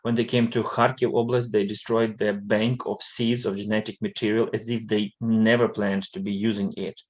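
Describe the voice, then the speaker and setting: A man from Western Ukraine, a native Ukrainian speaker, giving a hesitant live-streamed presentation in English with frequent pauses and fillers. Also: lowish voice, flat delivery. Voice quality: linguist.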